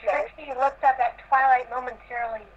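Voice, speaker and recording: Higher-voiced woman reading aloud, muffled audio. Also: steady tone, brisk. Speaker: reader in a group fan fiction reading. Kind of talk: amateur read-aloud of a story.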